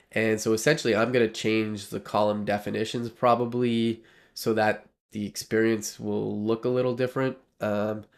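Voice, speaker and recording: Man, nasal from a cold, gravelly, low-pitched, rambling live coding chat, desk mic. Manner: rambling, many fillers and restarts. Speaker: livestreaming developer. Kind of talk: casual live coding talk.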